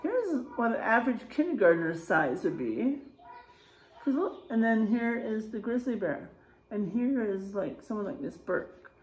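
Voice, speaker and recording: A middle-aged woman, a kindergarten teacher reading a children's book aloud and pausing to explain things simply in a deliberate, expressive way. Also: fairly high pitch, a little hiss or hum, rough recording. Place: indoors, in a room with drywall and posters.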